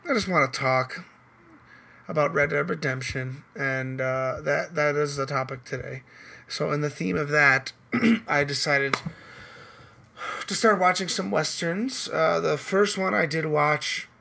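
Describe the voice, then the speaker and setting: A hoarse man hosting a podcast in loose, rambling casual chat, swearing now and then. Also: fairly high pitch, unhurried, sharp tone. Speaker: podcast host.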